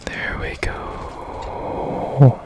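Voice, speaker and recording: A man as a whispering, mysterious, slow-talking repairman. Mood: hushed, curious. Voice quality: breathy whisper. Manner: slow, hushed, polite, halting. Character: strange, secretive, curious repairman, gently reassuring. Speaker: ASMR roleplay performer. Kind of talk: whispered ASMR roleplay.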